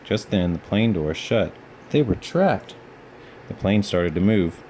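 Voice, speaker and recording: A man's voice: deep voice, animated, slow, darkish tone, slight background noise. A man narrating a children's storybook as a read-aloud storyteller.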